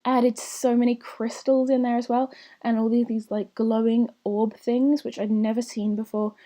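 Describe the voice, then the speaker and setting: Woman for a casual gaming voiceover, a bit croaky, warm, rambling. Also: fairly high pitch, flat delivery, normal speed. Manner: chatty and rambling, with soft whispery asides. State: slightly croaky, recovering from lost voice. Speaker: gaming channel host. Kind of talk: casual voiceover commentary over gameplay.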